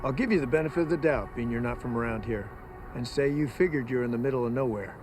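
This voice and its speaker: An actor playing a steely, courteous frontier lawman, measured and menacing with a folksy turn. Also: mid-range voice, natural voice. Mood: calm warning. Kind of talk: screen drama acting. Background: loud music.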